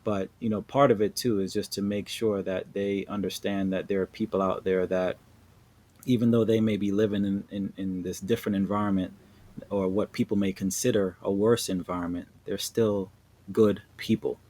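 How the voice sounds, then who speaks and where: A man from New York speaking as a podcast guest in a relaxed, earnest way, repeating words and checking in with tag questions. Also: low voice, moderate pace. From New York, USA. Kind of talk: conversational interview.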